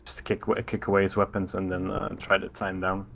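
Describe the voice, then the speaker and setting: A man's voice: sits low, monotone, fast talker, vocal fry, fairly bright tone. A man talking casually as a player in a tabletop roleplaying game.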